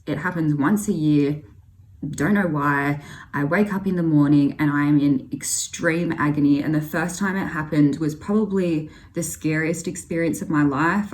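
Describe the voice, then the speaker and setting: A young Australian woman telling her own health story to camera in a candid, earnest way. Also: deep voice. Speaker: vlogger. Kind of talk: personal health story vlog.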